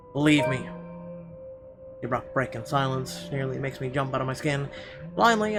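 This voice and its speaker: A man reading horror visual novel narration aloud in a theatrical, suspenseful way with emphatic phrasing. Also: medium pitch. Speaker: gaming video host. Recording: music behind the voice. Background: game music.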